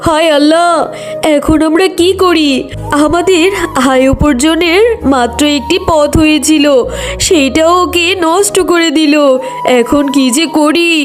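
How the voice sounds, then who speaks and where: A woman's voice: sits high, steady tone, a little slow, soft background music. A woman voicing a humble mother fox in a children's cartoon, earnest and full of emotion. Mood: distraught.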